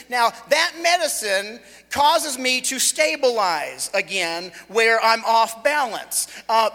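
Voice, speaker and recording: High, bright-voiced preacher in his forties. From Los Angeles, California. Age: 40s. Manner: loose, joking, conversational with frequent restarts. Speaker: visiting preacher. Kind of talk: sermon. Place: church hall. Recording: echoey hall with long reverb.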